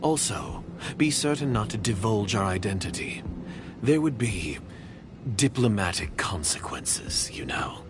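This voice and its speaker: Slow, low-voiced secretive villain. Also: male voice, big pitch swings, background noise. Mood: cold, guarded. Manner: measured, disdainful. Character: cold, cautious, secretive male foreign agent villain. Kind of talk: video game voice acting. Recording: game dialogue with music behind.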